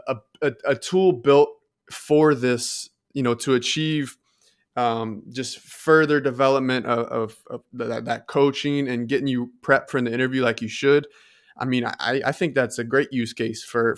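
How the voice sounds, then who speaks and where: A man's voice: mid-range voice, a bit bright, clean tone. A man co-hosting a podcast, talking in a casual but earnest, explanatory way. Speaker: podcast co-host. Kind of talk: casual conversational tech chat.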